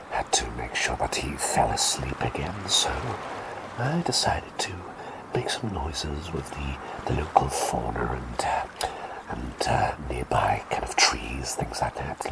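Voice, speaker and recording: A man voicing a quirky, kindly male bird plague doctor in whispered ASMR roleplay, rambling and halting at times, then soft and reassuring. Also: a bass voice, creaky, heavily acted, poor audio.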